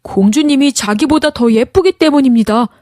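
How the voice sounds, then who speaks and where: A woman's voice: high voice, normal speed, mellow, no echo, studio quality, voice pushed up, natural voice. Woman voicing an earnest, deferential royal servant man. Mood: urgent. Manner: formal, earnest. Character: deferential, soft-hearted male royal servant.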